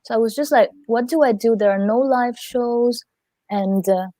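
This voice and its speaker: Laughing woman, higher voice. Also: normal speed, warm tone, no echo. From Italy. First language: Italian. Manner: chatty, laughs often. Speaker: guest singer. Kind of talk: casual interview chat.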